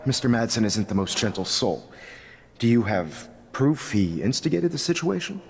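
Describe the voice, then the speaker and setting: An actor playing a composed, gently probing middle-aged teacher in a video game. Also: male voice, medium pitch, animated, normal speed, natural voice. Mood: measured, probing. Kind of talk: naturalistic video game acting.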